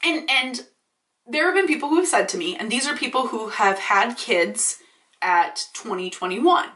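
A woman in her late twenties, vlogging in a chatty, animated, ranty way, swearing freely and a bit congested from allergies. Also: on the high side, moderate pace. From Michigan. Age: 20s. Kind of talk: casual ranting vlog. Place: small indoor room. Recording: roomy echo, slightly distant.

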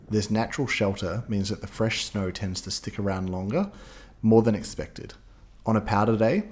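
A man's voice: fairly low pitch, a little mellow. A man giving upbeat scripted voice-over narration, guiding listeners step by step like a ski guide.

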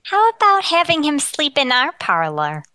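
Female actor for indignant, sharp-tongued barroom gal in vintage radio comedy; animated delivery, bright voice. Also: sits high, moderate pace, no echo, clean studio sound, exaggerated voice. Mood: defensive. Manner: haughty, indignant, snappy comebacks. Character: man-hungry, easily offended, sharp-tongued woman at a tavern. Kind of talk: 1940s radio comedy acting.

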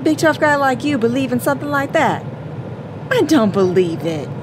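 A woman's voice: high voice, very animated, normal speed, background noise. A woman acting an exasperated, fearless, teasing partner in an audio drama, with a no-nonsense, mocking way of talking. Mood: teasing, dismissive.

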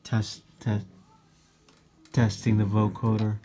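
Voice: mid-range voice, monotone, slow pace, warm tone, slight background noise. A vocoder-processed robotic voice of unclear sex repeating short mic-check test phrases as a synthesizer demo. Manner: repetitive mic-check delivery. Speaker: musician demonstrating a synthesizer. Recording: synthesizer tones over the voice. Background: synthesizer keyboard playing.

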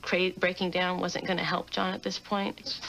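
A woman's voice: lowish voice, flat delivery, normal speed, vocal fry, rough recording. A young woman with an American accent telling a personal recollection in an interview.

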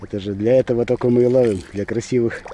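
A middle-aged man calmly commenting to himself while fishing from a small inflatable boat, picked up by an action camera outdoors. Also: medium pitch. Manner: calm, unhurried, talking to himself between casts. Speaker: fishing vlogger. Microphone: action camera on the boat and chest. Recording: outdoor recording with wind and water noise.

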